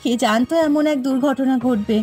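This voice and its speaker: A woman's voice: sits high, a little fast, warm tone, soft background music, close to an everyday voice. A woman voicing an earnest young village mother in a cartoon, polite and pleading.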